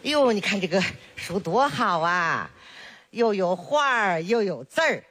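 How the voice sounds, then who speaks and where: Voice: mid-range voice, very animated, unhurried, background noise. A performer playing a chatty, doting old village grandmother with a Northeastern Chinese accent in a solo comedy sketch, full of exclamations and jokes. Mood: storytelling. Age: older. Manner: sing-song, full of exclamations, teasing and jokey.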